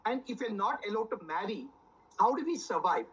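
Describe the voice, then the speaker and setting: A man's voice: high voice, sing-song, brisk, fairly bright tone, slightly rough, muffled recording. A man, pleading his case earnestly as a panelist on a televised debate over a video call. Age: 60s. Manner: earnest, pleading, filler-heavy. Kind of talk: personal argument in a TV debate.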